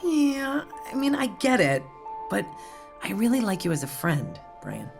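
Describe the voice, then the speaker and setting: A woman's voice: medium pitch, wildly swooping pitch, measured pace, creaky, music in the background, full character voice. An actress playing a cool, reluctant young woman on a date in an audio drama, wry and offhand.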